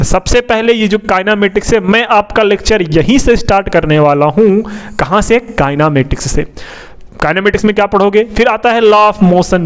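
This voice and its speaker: High-pitched male coaching teacher. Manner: repetitive, asks questions and answers them himself, frequent tag questions. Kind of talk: informal explanatory lecture on an exam syllabus.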